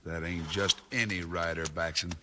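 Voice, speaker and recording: An actor playing a nervous, fearful outlaw henchman with an excitable drawl in a 1950s radio western. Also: low voice, normal speed, lightly acted. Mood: uneasy awe. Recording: noisy, crackly 1950s radio broadcast.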